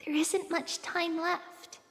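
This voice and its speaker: High-pitched, solemn little girl, slow-spoken. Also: level tone, fairly bright tone, full character voice. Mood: troubled. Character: small childlike girl, gentle, solemn, wise beyond her years. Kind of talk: video game voice acting. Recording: long reverb, faint music behind.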